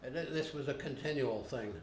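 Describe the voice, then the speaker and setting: An older man being interviewed, recalling his past in a plainspoken, unhurried way with frequent pauses. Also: medium pitch, a little mellow, a little hiss or hum. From United States. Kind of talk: unscripted recollection. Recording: roomy home video recording.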